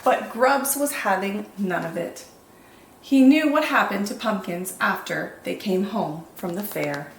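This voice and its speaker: A woman reading picture books aloud as a children's storytime host, expressive and deliberate, with drawn-out dramatic emphasis. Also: medium pitch. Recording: echoey room, faint background noise.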